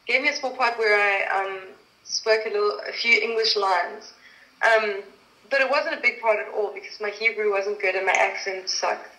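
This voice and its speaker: A woman's voice: on the high side, pushed and bright. A woman from South Africa, a guest actress telling stories in a casual interview, chatty and laughing often. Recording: echoey room.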